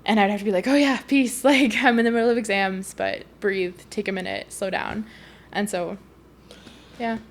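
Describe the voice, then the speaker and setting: A woman's voice: medium pitch, fairly even intonation, moderate pace, slight background noise. A young woman from Canada chatting casually as a podcast guest, rambling with lots of fillers and laughs. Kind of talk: casual conversation.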